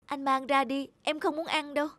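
A woman voicing a weary, sad young woman in a wheelchair in an animated cartoon. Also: high-pitched, fairly quick, no echo. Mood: bitter. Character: young woman in a wheelchair, bitter then tender.

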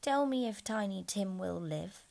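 A woman's voice: mid-range voice, lively intonation, a little slow, gravelly, darkish tone. A woman voicing a penitent old miserly man who pleads anxiously in a storybook reading. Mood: pleading.

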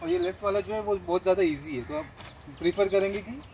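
A man's voice: high voice, fairly even intonation, fast talker, darkish tone, a little hiss or hum. A man vlogging outdoors, explaining directions step by step as he walks a rural trail. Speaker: travel vlogger. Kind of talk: casual guide to a trail.

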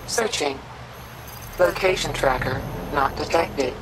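Low-pitched, clinical computer. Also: female voice, noisy background. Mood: impersonal, informative. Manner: clinical, measured, emotionless. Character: calm, impersonal female computer assistant. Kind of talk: scripted video game narration.

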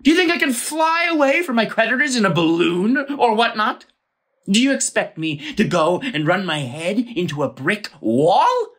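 Casting: woman as blustering male landowner in stage farce, husky voice, wildly animated delivery, constantly exasperated. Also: mid-range voice, moderate pace. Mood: exasperated ranting. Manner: ranting, exasperated, theatrical. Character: irate, blustering male landowner demanding his money. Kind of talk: theatrical audio play acting.

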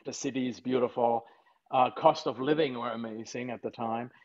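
A man's voice: medium pitch, steady tone, normal speed, a crowd in the background. A middle-aged man from Germany with a German accent, a vet chatting as an interview guest from his home, joking and telling stories. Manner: jokey, chatty storytelling with frequent fillers. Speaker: veterinarian guest. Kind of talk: casual video-call interview. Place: home living room. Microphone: video call webcam. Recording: slightly dull video-call audio.

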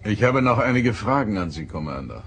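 Starship captain, male, reserved authority, lower register, sci-fi radio play. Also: sing-song, creaky, a little hiss or hum. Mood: stern, probing. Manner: formal, stern, measured. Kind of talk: German audio drama acting.

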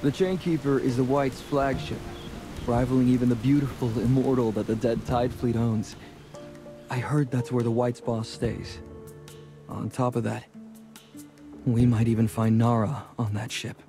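An actor playing a cocky, smooth-talking young scoundrel in a video game, with a breezy, chummy manner. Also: male voice, medium pitch, little pitch movement, normal speed, natural voice. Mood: earnest, confident. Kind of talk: video game character acting. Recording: music and rain behind the voice. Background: game music, rain.

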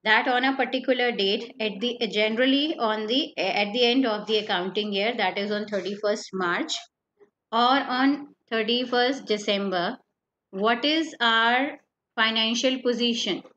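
Woman teacher, higher voice, patient and repetitive lecturing, lo-fi online class mic. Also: a little slow, a bit bright. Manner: explains step by step, repeats key points, asks "right?". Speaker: accountancy teacher. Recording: slightly dull lo-fi online class audio with faint noise.

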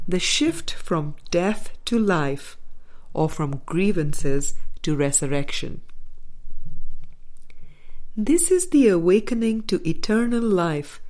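A woman reading a spiritual audiobook as scripted narration, with measured, deliberate phrasing. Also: on the low side, a little mellow. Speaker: audiobook reader.

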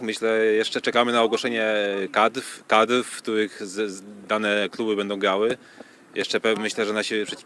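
A man in his thirties giving measured, hesitant answers in a sports interview, speaking into a handheld microphone on a pitch. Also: a bit bright. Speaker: football club official being interviewed. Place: outdoors on a football training pitch. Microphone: handheld reporter microphone. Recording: outdoor field noise.

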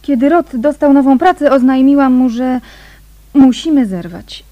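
An actress in a radio drama playing a nervous, gossipy woman with a hesitant, breathy, confiding manner. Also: high voice, expressive, moderate pace, dark tone, no room sound.

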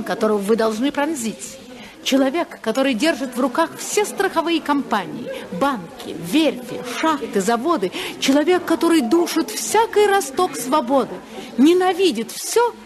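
An actress playing a poised, teasing, rebellious society hostess in a 1950s radio drama. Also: female voice, sits high. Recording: 1950s radio, chattering crowd behind.

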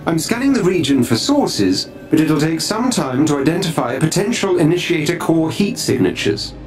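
A courteous artificial butler from a superhero game. The voice is somewhat high with a lilting range, formal and deferential, over clearly audible music. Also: male voice, very animated, moderate pace. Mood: dutiful. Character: polite, deferential male AI butler assistant, calm and precise. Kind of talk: video game cutscene acting.

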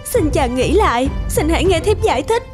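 Devoted young folktale wife: high voice, gentle, earnest cartoon delivery, music underneath. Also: female voice, big pitch swings, moderate pace. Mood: pleading, hurt. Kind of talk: Vietnamese animated folktale dubbing. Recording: music behind the voice.

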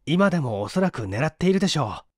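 A man's voice: fairly high pitch, big pitch swings, fast, no room sound, studio quality, pitched below the performer's own voice. Man acting an urgent, earnest young hero. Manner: urgent, polite, emphatic. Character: earnest, quick-witted young male assistant turned bold accuser. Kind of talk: dramatic audiobook character reading.

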